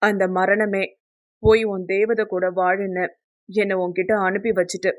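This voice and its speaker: Woman as devoted young lover, lowered voice. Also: flat delivery, measured pace, no echo, studio quality, natural voice. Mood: tender, passionate. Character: devoted, intense young man in love.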